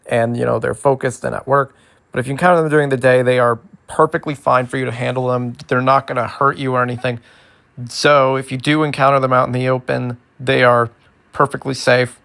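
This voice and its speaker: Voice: mid-range voice, steady tone, fairly quick, vocal fry, a bit bright. A host with a mid-range voice gives an educational ASMR talk. The delivery is informal and detailed, explaining things step by step and slipping in playful asides.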